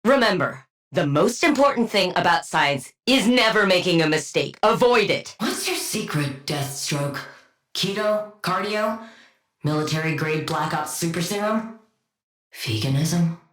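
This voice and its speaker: An actress playing a brilliant, arrogant, sardonic supervillain scientist in a video game, precise and condescending, with dry wit. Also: female voice, lowish voice, expressive, a little slow, fairly bright tone. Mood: dry, smug. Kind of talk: video game character voice lines.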